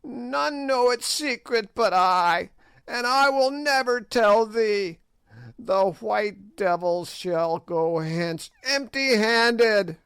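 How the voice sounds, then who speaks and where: A man's voice: animated, slow, a bit bright, clear, resonant tone, dry recording, full character voice. A man doing a shrieking cackling ancient hag. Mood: defiant. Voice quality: shrill, cackling. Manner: shrieking, archaic speech. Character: ancient shrieking evil witch woman, hag.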